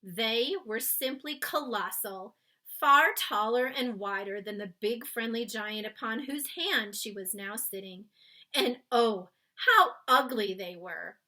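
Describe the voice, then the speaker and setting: Female children's read-aloud narrator, bright tone, unhurried delivery. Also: a little high. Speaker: storybook reader.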